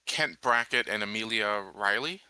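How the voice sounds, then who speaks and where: A man's voice: on the low side, moderate pace, sharp tone, smooth, no room sound, low-quality recording. A man playing a tabletop roleplaying game, talking casually and a little hesitantly as he works out plans.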